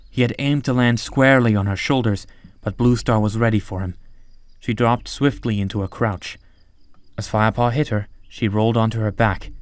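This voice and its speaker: A man's voice: medium pitch, dry recording. A man narrating an audiobook story from a script.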